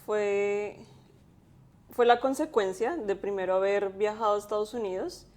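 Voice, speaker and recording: Young woman, mellow voice. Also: mid-range voice, unhurried. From Colombia. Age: 20s. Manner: thoughtful, with many fillers. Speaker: guest, graphic designer. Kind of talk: interview.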